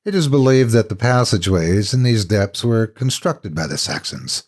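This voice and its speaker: Guy doing a cryptic old-castle factor for a horror story, ominous and terse, low voice that swings around a lot. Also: expressive, moderate pace. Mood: grave, uneasy. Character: William Cowith, grim, cryptic castle factor and guide. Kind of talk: horror audiobook character acting.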